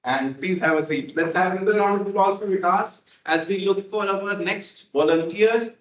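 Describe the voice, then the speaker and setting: A man's voice: sits high, moderate pace, reverberant, rough recording. A man hosting a club meeting, calling on speakers in a friendly way with frequent fillers. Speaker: table topics master.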